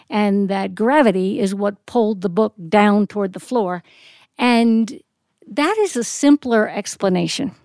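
A woman storyteller performing live in a studio, explaining things in a deliberate way. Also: medium pitch, a little mellow, no echo.

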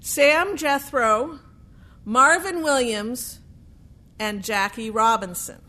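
A woman telling a true sports history story in a deliberate way, with dramatic pauses and emphasis. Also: a little high, sharp tone.

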